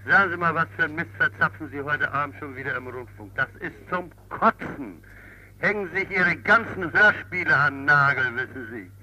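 A man's voice: a little high, moderate pace, bright, forceful tone. A man phoning in a coarse, indignant complaint, on a 1950s archive recording. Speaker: complaining radio listener calling in. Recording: dull, noisy 1950s archive phone recording.

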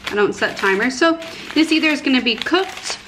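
A woman's voice: high-pitched, animated, a little fast, background noise. A woman in her twenties talking casually to her vlog viewers at home, bubbly, informal and self-deprecating. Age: 20s. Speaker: beauty and lifestyle vlogger. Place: indoors at home, living room and kitchen.

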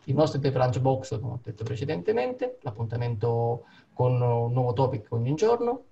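Male host for online event intro, soft dark tone with vocal fry, informal. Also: medium pitch, big pitch swings, normal speed. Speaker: meetup host and moderator. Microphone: video call. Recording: dull video-call audio.

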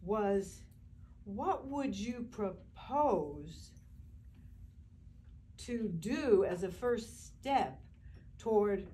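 An older woman in the audience asks a question, speaking softly and hesitantly and rambling a little. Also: mid-range voice, a little hiss or hum. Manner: soft-spoken, hesitant, rambling. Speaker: audience member asking a question. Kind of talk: question from the floor. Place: community meeting room. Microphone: far camera, no microphone.

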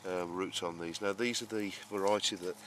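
A man with a low, flat voice talks casually through gardening jobs. His delivery is halting and unscripted, explaining as he goes. The camera microphone picks up clear outdoor ambient noise around him. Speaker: gardening vlogger. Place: outdoor vegetable garden and greenhouse. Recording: clearly noisy outdoor ambience, uneven distance.

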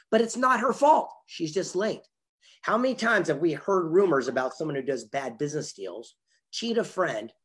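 Husky older man speaking motivationally. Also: on the high side. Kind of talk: motivational storytelling. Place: indoor room on a video call. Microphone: video call.

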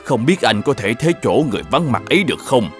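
A man voicing a mysterious old Taoist sage in an animated fairy tale, courteous and formal in manner. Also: mid-range voice, fast talker, lightly acted. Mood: courteous, persuasive. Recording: music behind the voice.

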